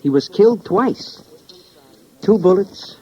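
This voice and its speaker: An actor playing a weary, brooding medical examiner in a 1950s radio crime drama, speaking in halting, emphatic, oddly philosophical phrases. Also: male voice, sits high, lively intonation, warm tone, a little hiss or hum. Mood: intense, brooding. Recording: dull old radio recording.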